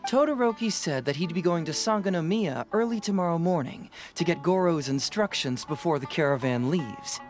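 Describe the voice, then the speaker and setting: An actor playing a young detective in a game, confident, teasing and coolly probing. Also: male voice, a little high, lively intonation, moderate pace, hardly any pauses, soft background music, natural voice. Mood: thoughtful.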